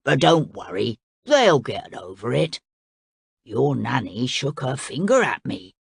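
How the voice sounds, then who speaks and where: A woman voicing a sulky, greedy male crocodile in a storybook reading, blunt and grumbling, with her voice pushed lower. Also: big pitch swings, slow pace, gravelly, slightly rough, dry recording, studio quality, full character voice.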